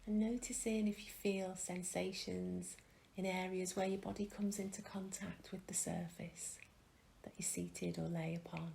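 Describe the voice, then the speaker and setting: A woman leading a guided mindfulness meditation, speaking calmly and gently with long pauses and soft, breathy phrase endings. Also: lowish voice, poor audio. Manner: calm, gentle, unhurried with pauses. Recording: faint background noise.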